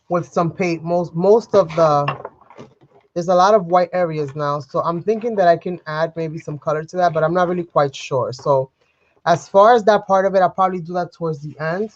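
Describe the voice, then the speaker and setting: A woman's voice: on the low side, moderate pace, dull audio, a little hiss or hum. Sniffly woman chatting through a craft stream. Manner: chatty, rambling, talks to viewers as you guys. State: sniffly, under the weather. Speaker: craft livestream host. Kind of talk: live crafting tutorial. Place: home craft desk. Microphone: overhead camera livestream, speaker off-frame.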